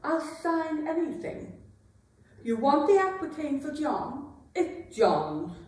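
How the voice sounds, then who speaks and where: A woman plays a weary medieval queen and wife with a wounded, cutting tongue. Her voice sits fairly high and moves slowly through wide swings of pitch, as in classic theatre. It is heard at a distance in a very reverberant room. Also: big pitch swings, a little mellow, full character voice. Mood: desperate surrender. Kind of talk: dramatic staged reading of a classic play. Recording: very echoey room, distant microphone.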